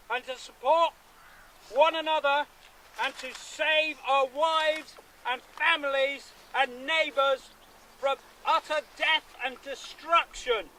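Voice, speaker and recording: A man playing a defiant seventeenth-century country lawyer, declaiming deliberately to rally his armed neighbours outdoors in a historical reenactment. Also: extremely high pitch, pushed and bright, heavily acted, poor audio. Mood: defiant, rousing. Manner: declamatory, deliberate, with emphatic pauses. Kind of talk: reenacted historical public speech. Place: outdoors at a rural gathering. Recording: outdoor recording with background noise.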